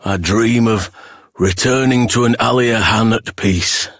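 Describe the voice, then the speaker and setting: Husky, low, earnest warrior. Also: male voice, measured pace, fairly bright tone, no room sound, studio quality. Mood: wistful, earnest. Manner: earnest, reflective. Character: gentle heroic warrior, wistful husband and father. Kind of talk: video game character voice acting.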